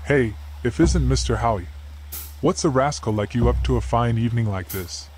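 A man's voice: mid-range voice, moderate pace, darkish tone, natural voice. An actor voicing a jovial working-class male beaver in a noir game, rambling like a folksy storyteller. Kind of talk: noir video game voice acting. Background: music.

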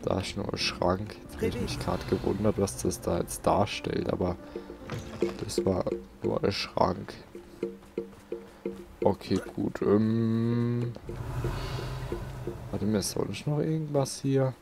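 A man hosts a let's play in a low, gravelly voice. He comments casually and mutters to himself as he goes, with game music clearly behind him. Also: fairly even intonation, takes it slowly, pauses often.

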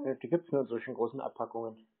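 A man's voice: mid-range voice, steady tone, fast talker. A man chatting casually on a call, rambling and stalling in a nonchalant way. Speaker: scambaiter taking a scam call. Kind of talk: casual, stalling phone chat. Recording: muffled narrow-band audio with noticeable noise.

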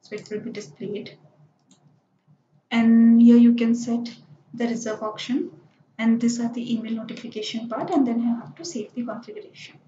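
A woman narrating a screen-recorded software tutorial, explaining each step plainly with lots of "so" and "like". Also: fairly high pitch, steady tone, moderate pace, a little hiss or hum. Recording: dull, roomy screencast voice-over.

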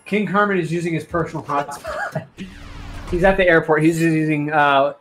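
Male co-commentator, Midwestern American, slightly high voice, casual and joking, game music behind. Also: big pitch swings, moderate pace, a bit bright. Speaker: esports speedrun commentator. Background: video game music.

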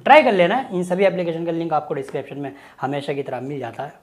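A man's voice: a little high, steady tone, fast. A man, hosting a casual, chatty tech review with joking, colloquial asides. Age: 20s. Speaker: YouTube tech host. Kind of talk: casual app review to camera. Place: small home studio room with desk. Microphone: lapel mic.

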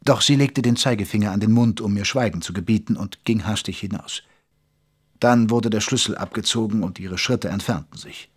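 An actor playing a sober, reluctant army doctor, narrating his story in an audio drama in a measured, dryly wry way. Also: fairly low pitch, breathy edge. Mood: pensive. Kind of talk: scripted first-person audio drama narration.

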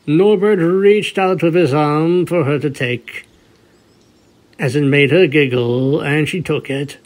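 A man's voice: on the high side, takes it slowly. A man reading a scripted story aloud as its narrator. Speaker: narrator of a fan fiction reading. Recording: music bed behind the voice.

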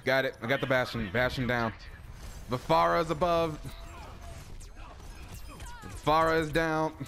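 A man's voice: on the high side, flat delivery, unhurried, gravelly, fairly bright tone, faint music behind. A man playing an online shooter with friends, cursing and calling out plays in casual chat. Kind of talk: casual profane game chat.

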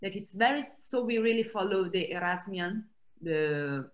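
An Italian-accented woman in her thirties talks on a video call from a small room at home. She is animated and expressive and often restarts her sentences. Her voice sits at an ordinary pitch for a woman. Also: moderate pace. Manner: animated, gestures a lot, laughs, many restarts. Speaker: author and Greek scholar. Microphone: laptop webcam on a video call.

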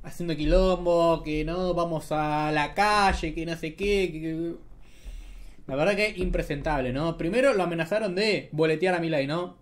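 A man's voice: a little high, normal speed. A young man with an Argentine accent giving casual, sarcastic political commentary, often ending remarks with tag questions. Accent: Argentine Spanish.

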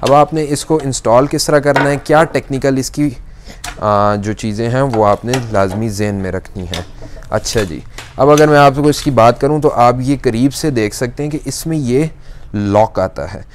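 A man's voice: medium pitch, moderate pace, slight background noise. A young man, a shop salesman who demonstrates a kitchen appliance step by step in an electronics store. He talks patiently and often stretches "aa" fillers between phrases. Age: young adult. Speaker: shop salesman reviewing products. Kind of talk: product demonstration.

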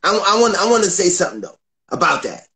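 Chill puppet guy, a man voicing him, new york accent, slangy, kinda high voice. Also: sing-song, fast talker, vocal fry, sharp tone, full character voice. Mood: easygoing. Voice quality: laid-back, slangy. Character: laid-back young male puppet co-host, street slang. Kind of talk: comedic puppet news chat.

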